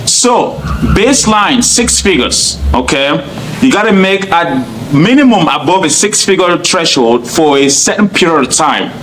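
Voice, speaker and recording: A man's voice: on the high side, animated, measured pace, fairly bright tone, slight background noise, low-quality recording. A man from Cameroon with a Cameroonian accent, English not his first language, answering interview questions earnestly and point by point. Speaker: guest.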